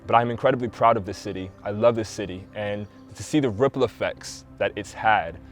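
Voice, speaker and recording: A man's voice: lowish voice, fairly quick, soft background music. A man speaking earnestly and passionately in a reflective documentary interview.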